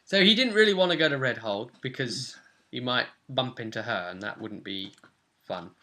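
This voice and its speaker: A man's voice: medium pitch, animated, normal speed, bright, forceful tone. A young man casually recapping a tabletop role-playing session as game master. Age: young adult. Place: small furnished room at home.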